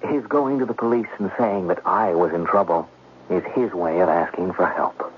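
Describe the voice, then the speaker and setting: A man acting a scheming gambler in a 1970s radio drama, with a smooth, sly, theatrical delivery. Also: deep voice, normal speed, close to an everyday voice. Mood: feigned worry. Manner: theatrical, sly, feigning innocence. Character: scheming, smooth gambler brother narrating his own plot. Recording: muffled, noisy 1970s radio broadcast.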